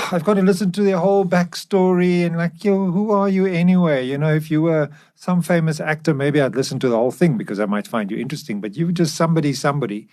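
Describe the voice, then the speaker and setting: A man's voice: fairly high pitch, fast, darkish tone, no room sound, clean studio sound. A man co-hosting a podcast, chatting in a casual, blunt conversational manner. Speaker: podcast co-host and author.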